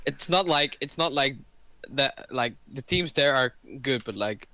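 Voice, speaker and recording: A man's voice: medium pitch, expressive, unhurried, pushed and bright, dry recording. A Dutch-speaking man from the Netherlands giving casual esports analysis, hesitant and full of restarts. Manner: hesitant, many fillers and restarts. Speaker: podcast guest analyst.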